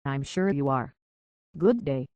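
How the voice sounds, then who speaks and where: A man's voice: mid-range voice, lively intonation, measured pace, darkish tone, smooth, no room sound, close to an everyday voice. A prim British robot butler from a video game, acted by a man, speaks in a dry, close recording. He is formal and deferential, an anxious hotel concierge who minds every courtesy. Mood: prim, officious. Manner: formal, deferential, fussily polite. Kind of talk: scripted video game character acting.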